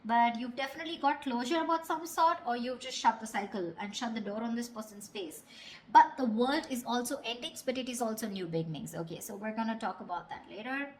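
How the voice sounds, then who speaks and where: A woman with a fairly high voice works as a tarot reader, talking straight to the listener. Her delivery is casual and conversational, with a blunt, unfiltered manner that allows for some swearing. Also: a little fast, a little hiss or hum, rough recording. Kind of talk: casual spoken tarot reading.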